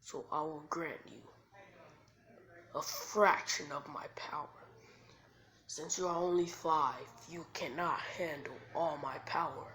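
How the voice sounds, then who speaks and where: A woman voicing a huge male demon fox in an anime fan story, with a slow, ominous, breathy whisper. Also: deep voice, steady tone, a bit bright, rough recording. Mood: grudging, dismissive. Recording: faint background noise.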